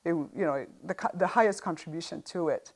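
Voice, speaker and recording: A middle-aged woman with an East African accent shares her story in an interview. Her voice sits very low and crackles with vocal fry. She speaks conversationally, stopping often to find her words. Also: a little fast. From Uganda. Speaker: interview guest sharing a health experience. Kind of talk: conversational personal testimony.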